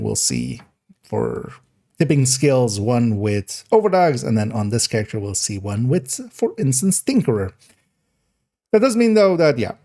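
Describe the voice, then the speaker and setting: Hoarse man rambling through a game stream. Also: mid-range voice, a little mellow. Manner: rambling, self-correcting, laughs at himself. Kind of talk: casual gaming stream chat.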